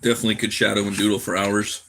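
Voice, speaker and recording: A man's voice: fairly low pitch, little pitch movement, rapid-fire, vocal fry, a bit bright, low-quality recording. A tired man chatting casually on a livestream while he draws, laid-back and slangy, half muttering to himself. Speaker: cartoonist live streamer.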